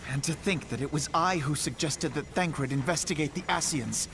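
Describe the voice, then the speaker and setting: An actor playing a solemn, resolute young male scholar-leader in a game cutscene, speaking in a formal, measured way. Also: fairly high pitch, background noise, lightly acted. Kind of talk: voiced video game cutscene. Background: game music.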